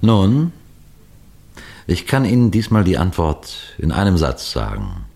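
An actor playing a police detective who tells puzzle cases to listeners in a deliberate 1960s radio play style. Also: male voice, low-pitched, wildly swooping pitch, vocal fry, mellow, soft background music, heavily acted. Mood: explaining calmly. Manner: deliberate, rhetorical, addresses listeners directly. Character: male police detective telling puzzle cases, measured and knowing.

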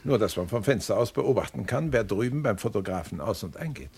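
Gravelly, wry police detective. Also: male voice, fairly low pitch, animated, fairly quick, darkish tone. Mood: probing, alert. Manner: dry, sardonic, probing. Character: dry, wry male police detective questioning witnesses. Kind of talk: German crime radio play acting.

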